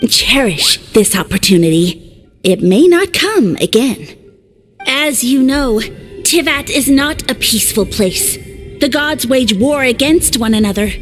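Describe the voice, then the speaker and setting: Pompous, high-pitched mushroom lord. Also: female voice, full character voice. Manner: grandiose, theatrical, haughty. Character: pompous self-styled great sage mushroom lord, male creature. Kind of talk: English video game voice acting.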